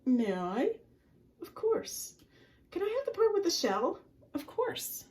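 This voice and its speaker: A woman voicing small, timid sea creatures in a storybook, with a squeaky, high voice. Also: very animated, takes it slowly, a little mellow, recorded from a distance, heavily acted. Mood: eager.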